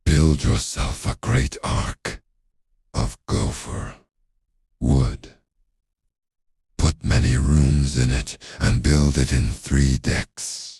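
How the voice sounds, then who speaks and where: A gravelly, abyss-low voice of God. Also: male voice, little pitch movement, a little mellow, no room sound, clean studio sound, full character voice. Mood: solemn command. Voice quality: gravelly, husky. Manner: slow, solemn, ominous pronouncements. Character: voice of God, grave ancient male, commanding. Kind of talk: scripted audio drama.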